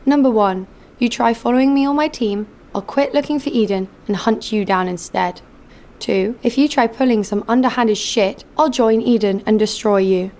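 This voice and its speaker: A woman's voice: a little high, normal speed, darkish tone, close to an everyday voice. An actress playing a cynical, hard-nosed female bounty hunter in an audio drama, with a blunt, sardonic delivery. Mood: hostile, blunt. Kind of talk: first-person audio drama narration and dialogue.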